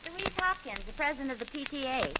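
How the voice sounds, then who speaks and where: A woman acting a patient, practical housewife and mother who gently needles, in an old-time radio situation comedy. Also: high voice, sing-song, quick pace. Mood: pleasant, chatty. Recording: muffled, crackly old radio recording.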